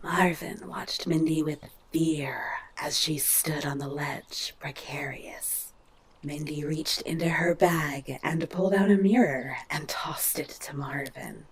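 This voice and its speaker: A woman narrates a horror story in a low, very breathy near-whisper full of gravel. Her scripted delivery is unhurried and eerie in the manner of radio mystery theatre. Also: poor audio.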